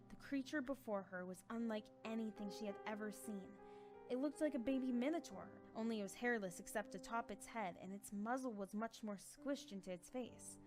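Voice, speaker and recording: Woman narrating a story over faint music. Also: mid-range voice.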